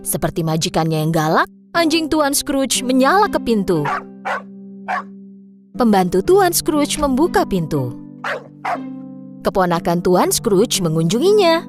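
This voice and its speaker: A woman's voice: a little high, sing-song, measured pace, pauses often, over music. A woman reading scripted narration for a children's story as the narrator.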